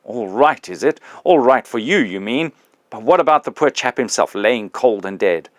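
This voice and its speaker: Male reader doing big ghost: loud, aggrieved working man, indignant, dry booth sound. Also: medium pitch, very animated, a little slow, no echo, voice pushed up. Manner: loud, indignant, coarse working-class speech. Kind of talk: audiobook reading.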